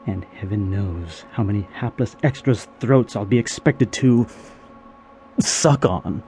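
A man's voice: fairly low pitch, a little slow, warm tone, a little hiss or hum, lightly acted. A man playing a pompous, indignant veteran soap opera actor in an audio drama, with a theatrical, grandiloquent manner. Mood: scornful, self-pitying.

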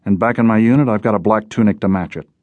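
A man's voice: fairly low pitch, steady tone, quick pace, no room sound, phone-quality sound, close to an everyday voice. A man voicing a plain-spoken, earnest male fugitive who was once a lawman, in an audiobook. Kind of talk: audiobook character voice.

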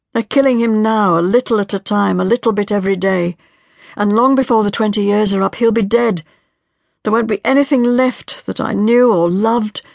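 A woman reading an audiobook, voicing a grief-stricken young woman in her twenties with a pleading, tormented voice that strains high. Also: vocal fry, dry recording, clean studio sound. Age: 20s. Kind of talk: audiobook character voice.

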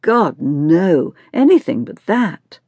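Woman acting a whiny, high-pitched bored teenage girl. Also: very animated, normal speed, warm tone, no room sound. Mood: sulky groaning. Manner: whiny, sarcastic teenage complaining. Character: bored, sulky, mocking fourteen-year-old girl. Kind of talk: acted audiobook dialogue.

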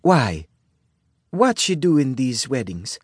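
A man voicing a curious, forthright young Italian immigrant woman in a storybook, with an Italian accent and halting broken English. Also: medium pitch, sing-song, breathy edge, no room sound, studio quality, heavily acted. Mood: inquisitive.

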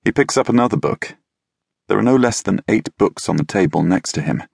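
Deep, gravelly male narrator. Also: expressive, a little fast, a little mellow, no room sound, clean studio sound. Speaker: audiobook narrator. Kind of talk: first-person scripted narration. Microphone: close studio mic.

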